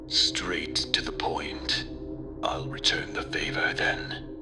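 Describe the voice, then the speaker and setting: A man playing a calmly threatening crime boss in a radio drama, breathy and deliberate, with coldly polite manners. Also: a bass voice, exaggerated voice. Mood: cold menace. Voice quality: breathy, half-whispered. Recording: music behind the voice.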